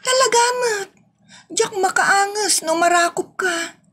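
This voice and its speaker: A woman's voice: very high voice, a little slow, fairly bright tone, a little hiss or hum. A woman with a northern Philippine accent playing a jealous, nagging, whiny young wife in a comedy audio drama.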